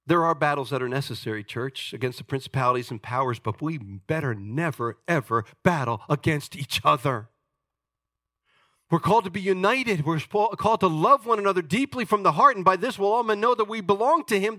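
A man in his sixties preaching a sermon as pastor, emphatic and jokey, swinging between loud points and quiet asides. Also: high-pitched, expressive, a little fast, dry recording, clean studio sound. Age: 60s.

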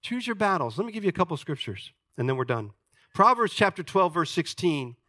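A man in his sixties preaching a sermon as pastor, emphatic and jokey, swinging between loud points and quiet asides. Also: high-pitched, big pitch swings, a little fast, no echo. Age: 60s.

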